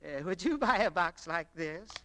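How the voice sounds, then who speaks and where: An actor playing an excitable, stubborn older shop owner, blustering and stammering in 1940s radio style. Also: male voice, high-pitched, normal speed. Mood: flustered. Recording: noisy old 1940s radio recording.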